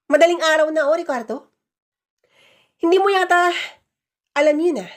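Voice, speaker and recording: A woman plays an indignant older mother-in-law in an audio drama. The pitch is very high and the manner is stern, reproachful and full of sharp questioning.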